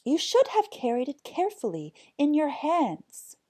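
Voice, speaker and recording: An exasperated storybook mother, as a woman narrator plays her, scolds her foolish son in a high, shrill voice. Her words swoop and stretch out in loud reproach. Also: huge pitch swings, a little slow, exaggerated voice. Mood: shrill exasperation. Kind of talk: storybook character voice.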